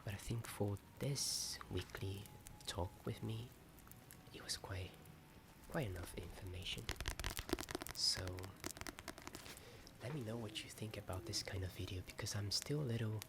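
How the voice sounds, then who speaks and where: A man whispering a hesitant, rambling ASMR chat in a soft, often breath-only voice. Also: fairly low pitch, measured pace. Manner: hesitant, rambling, self-deprecating. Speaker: ASMR video host. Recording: strong ambient noise behind whisper. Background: added ambience sound.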